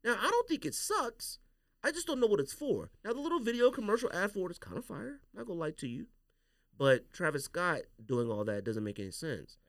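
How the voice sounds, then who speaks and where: A man's voice: high voice, sing-song, fairly quick, vocal fry, no room sound, studio quality. A man hosting a casual podcast chat, speaking in a slangy, opinionated way. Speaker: podcast host.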